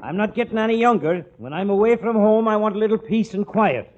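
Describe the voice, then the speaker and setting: A man's voice: sits high, fairly quick, natural voice. An actor playing an aging, threatening beat policeman in an old radio comedy. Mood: scolding. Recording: dull, slightly noisy old radio recording.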